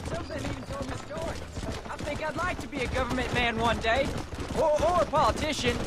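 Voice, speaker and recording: An innocent, questioning farm boy. He speaks earnestly, as in a game cutscene. Loud-ish horse and wagon noise surrounds him. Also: extremely high pitch, fairly quick. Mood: curious. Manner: inquisitive, polite, innocent. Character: curious, earnest teenage farm boy, rancher's son. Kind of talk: video game cutscene acting.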